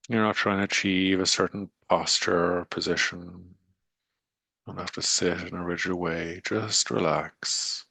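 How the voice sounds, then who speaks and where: A man leading a guided meditation in a soothing, unhurried manner with long pauses and gentle coaxing, his voice breathy and gravelly. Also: sits low, steady tone, no room sound.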